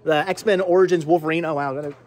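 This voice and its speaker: A man in his thirties hosting a casual, chatty vlog in an excitable way, often repeating his words, recorded on a handheld camera in a shop. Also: a little high, fast. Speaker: vlog host. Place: indoor cluttered video and game shop. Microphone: handheld camera at arm's length.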